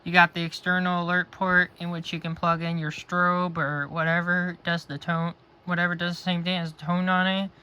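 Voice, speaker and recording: Bright, higher-voiced man reviewing a gadget. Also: flat delivery, moderate pace, hardly any pauses, clean tone, lo-fi sound. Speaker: product reviewer. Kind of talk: casual unscripted product review demonstration. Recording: faint background noise.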